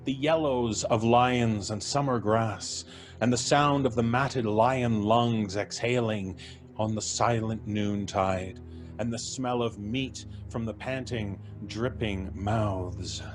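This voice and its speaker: A man narrating a classic tale in a measured, vivid way for a live audience show. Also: lowish voice, fairly even intonation. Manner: measured, vivid, lingering on sensory details. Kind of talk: dramatic live story narration. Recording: live stage recording with faint music.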